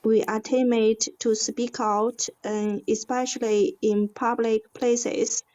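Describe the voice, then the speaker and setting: A fairly high-voiced woman with a Chinese accent speaks slowly in a lesson. She is an English learner, halting and searching for words. The recording sounds dull and lo-fi. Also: flat delivery, a little mellow. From China. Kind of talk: hesitant conversation in a lesson. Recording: dull, lo-fi audio.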